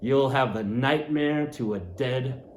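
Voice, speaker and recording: A sly, teasing innkeeper with a folksy old-timey dialect, as a man reads him out, pitched above the reader's own voice. The sound is roomy and echoing. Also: slow pace, slight background noise, natural voice. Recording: roomy echo.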